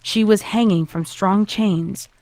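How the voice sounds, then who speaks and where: A woman's voice: medium pitch, steady tone, slow, a little mellow, no echo. A woman reading a scripted horror fan fiction story as its narrator.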